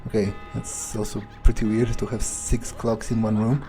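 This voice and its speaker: A man's voice: lowish voice, flat delivery, normal speed, mellow, soft background music, close to an everyday voice. A man playing a crude, cocky, irritable male vampire in a game, muttering profane asides to himself. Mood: puzzled musing. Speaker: game player in character. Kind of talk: improvised in-character game playthrough.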